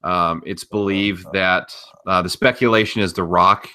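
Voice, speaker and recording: Forceful, blunt low-voiced man on a podcast. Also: fairly even intonation, a little slow. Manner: blunt, profane, opinionated. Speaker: podcast co-host. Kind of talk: casual wrestling news discussion.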